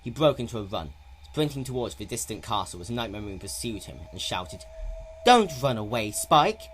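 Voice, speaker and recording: A man's voice: fairly low pitch, a little fast, slight background noise. A man narrating a story in a dramatic, scripted reading style.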